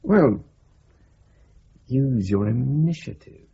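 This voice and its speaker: An actor playing a seasoned, middle-aged British intelligence agent in a radio drama, dry, urbane and understated. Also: male voice, mid-range voice, sing-song, slow pace, gravelly, warm tone, husky, heavily acted. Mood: businesslike. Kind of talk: radio spy drama acting. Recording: dull, hissy radio drama recording.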